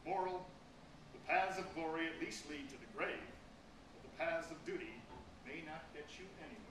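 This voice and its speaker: A man reads a humorous fable dramatically in a slightly high voice. He sounds distant in an echoey room, with clear noise behind him. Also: animated, moderate pace. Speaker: story reader. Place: public reading before an audience.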